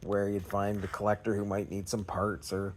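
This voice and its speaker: A man's voice: lowish voice, monotone, normal speed, a little hiss or hum. A Canadian man talking in a casual, unscripted vlog style, rambling and hesitant in a self-effacing way. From Canada, small-town Ontario. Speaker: scrap recycling vlogger.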